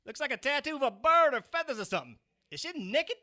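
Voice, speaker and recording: A man's voice: very high-pitched, lively intonation, quick pace, pushed and bright, exaggerated voice. A man playing a crude, leering street bully in an audio drama, slangy and swaggering. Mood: curious, dismissive.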